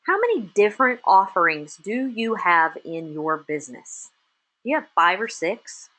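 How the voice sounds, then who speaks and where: A woman's voice: medium pitch, poor audio. A middle-aged woman with a Texas accent giving a motivational business webinar, speaking in short emphatic statements. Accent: Texan. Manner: emphatic, punchy short statements with lively rises. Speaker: webinar presenter and business coach for chefs.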